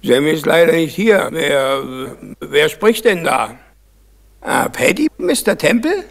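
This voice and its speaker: An actor playing a chatty, cheeky club employee answering the telephone in a German radio play. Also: male voice, on the high side, sing-song, unhurried, gravelly, exaggerated voice. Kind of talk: German crime radio play acting, circa 1970.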